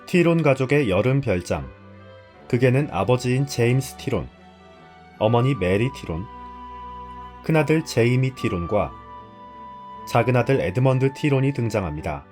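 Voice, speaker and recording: Lively male narrator over guitar music. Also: medium pitch, moderate pace, long pauses. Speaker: host. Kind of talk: scripted narration.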